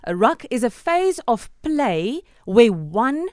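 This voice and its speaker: A woman's voice: a little high, big pitch swings, slow, no room sound, studio quality. A South African woman whose first language is Afrikaans, co-hosting a radio show and carefully reading out definitions in a playful way. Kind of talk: casual radio banter. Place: radio studio.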